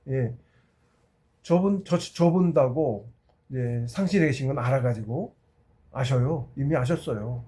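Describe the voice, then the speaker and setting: A man in his sixties giving an informal, rambling talk, halting and circling back as he tells anecdotes. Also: mid-range voice, mellow. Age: 60s. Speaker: spiritual practitioner giving a talk.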